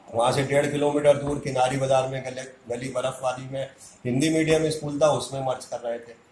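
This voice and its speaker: A man's voice: medium pitch, a little mellow, roomy sound, slight background noise, rough recording. A man makes an indignant public statement as a community activist, arguing hard and firing off rhetorical questions.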